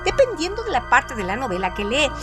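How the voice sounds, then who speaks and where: A woman's voice: high-pitched, very animated, a little fast, loud music behind the voice. A woman reading scripted literary radio narration in a formal, declamatory manner.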